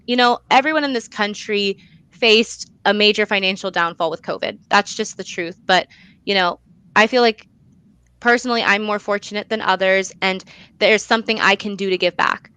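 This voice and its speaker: A young woman speaks as an interview guest in a bright, smooth voice. She is earnest and emphatic, talking conversationally. The sound is dry, with little room around her. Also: mid-range voice, normal speed, no echo. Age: young adult. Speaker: guest, student actor and playwright.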